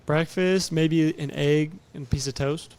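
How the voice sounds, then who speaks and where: A man's voice: a little high, fairly even intonation, measured pace, a little mellow. Young male guest from California, light voice, humble and easygoing, trails off with yeahs. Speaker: amateur MMA fighter, guest. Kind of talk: casual podcast interview.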